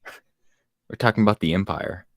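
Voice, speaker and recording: Low-voiced joking man on a podcast panel. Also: a little slow, dry recording, studio quality. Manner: joking, laid-back. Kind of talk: casual podcast chat.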